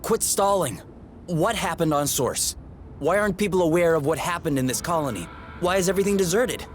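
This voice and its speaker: A man's voice: fairly high pitch, lively intonation, fairly quick, faint music behind, lightly acted. A young man playing a blunt, skeptical, principled rebel in a dramatic video game. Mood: impatient. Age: young adult.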